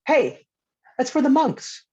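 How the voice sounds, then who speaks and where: A woman's voice: high voice, very animated, slow pace, warm tone, dull audio, heavily acted. A woman playing an eccentric, earnest ten-year-old boy who is wise beyond his years, in a comedy script read. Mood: insistent. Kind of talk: table read of a comedy pilot.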